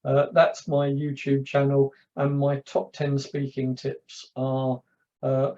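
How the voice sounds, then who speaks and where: A man's voice: mid-range voice, monotone, slow, mellow, highs cut off. A man from London with a London accent, a public speaking coach chatting as a guest and telling anecdotes, often repeating words as he starts. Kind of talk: casual interview chat.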